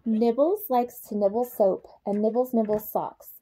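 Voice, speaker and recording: Young female storyteller for children's read-aloud. High, mellow voice, expressive delivery aimed at small kids. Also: moderate pace, slight background noise, rough recording. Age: young adult. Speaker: childcare supervisor reading a bedtime story.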